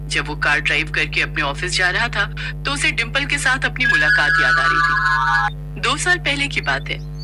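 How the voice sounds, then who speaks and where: A woman's voice: on the low side, big pitch swings, normal speed. A woman narrating a scripted story as a storyteller. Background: music.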